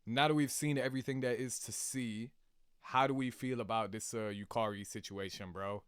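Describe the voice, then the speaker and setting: A man's voice: medium pitch, steady tone, moderate pace, a bit bright, clean tone, dry recording, clean studio sound. A young man giving casual, slangy reaction commentary on a video game. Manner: slangy, informal. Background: music.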